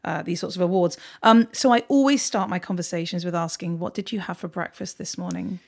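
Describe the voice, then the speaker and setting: A woman's voice: fairly low pitch, fairly quick, creaky, darkish tone. Encouraging woman podcast host. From grew up in a big city. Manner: encouraging, eager interviewer asking open questions. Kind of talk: casual interview chat.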